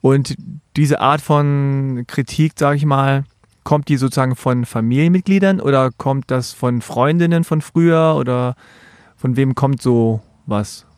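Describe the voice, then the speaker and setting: A man's voice: medium pitch, a little slow, a little mellow, clear, resonant tone, no room sound, studio quality. A man hosting an interview, asking long, rambling questions that he rephrases as he goes. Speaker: interviewer, host.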